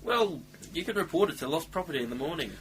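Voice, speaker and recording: A man's voice: a little high, animated, fast, a bit bright. A man playing a grudging, officious guard in an amateur radio play. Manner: grudging, curt. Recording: noisy, humming home recording.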